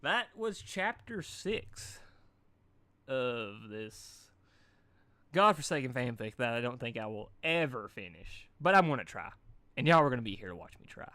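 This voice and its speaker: A man hosting a fan fiction reading, switching between expressive narration and informal, rambling chat. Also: mid-range voice, unhurried, no echo. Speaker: fan fiction reader and video host. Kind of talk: animated story reading and casual chat.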